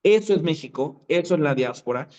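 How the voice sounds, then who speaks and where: A man with a notably high voice speaks emphatically, driving his points home through repetition. The audio is muffled and lo-fi, as on a video call. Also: lively intonation, fairly quick, a little mellow. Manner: emphatic, repeats points for effect. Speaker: guest. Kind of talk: conversational commentary.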